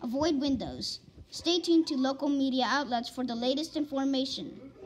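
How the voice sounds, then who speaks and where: A woman with a high voice delivers a scripted emergency weather warning. She speaks formally, as an alert announcer reading a bulletin. Faint noise sits behind her voice. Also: normal speed.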